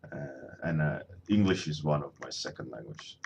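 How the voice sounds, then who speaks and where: A man's voice: extremely low pitch, expressive, normal speed, gravelly, darkish tone, muffled recording, a little hiss or hum. A man in his thirties, a native Swedish speaker, chatting casually on a painting livestream from his home studio, rambling and restarting his sentences.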